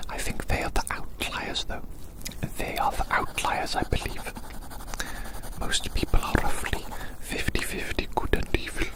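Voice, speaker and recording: A whispered ASMR voice, recorded outdoors. The performer muses slowly and repeats phrases softly, speaking directly to the listener like a small friend in a gentle roleplay. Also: low-quality recording.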